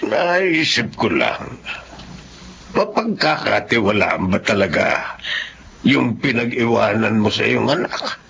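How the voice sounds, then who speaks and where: A man acting a calm, cautious, ailing middle-aged husband in a Filipino radio drama. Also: fairly low pitch, slow, vocal fry, heavily acted. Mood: suspicious. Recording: muffled recording with faint noise.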